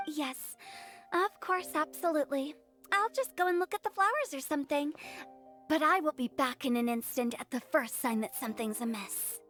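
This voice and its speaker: A woman's voice: high voice, moderate pace, a bit bright, soft background music. A woman voicing a playful, mischievous young female funeral director who teases and rhymes. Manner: teasing, rhyming, playful. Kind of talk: game voice acting.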